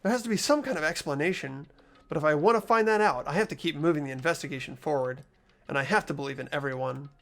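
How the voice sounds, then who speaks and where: A man's voice: a little high, fast, creaky, fairly bright tone. A man voicing an earnest, anxious teenage boy investigator, narrating and questioning in a video game readthrough. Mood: troubled, questioning. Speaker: host of playthrough. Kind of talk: amateur game voice acting.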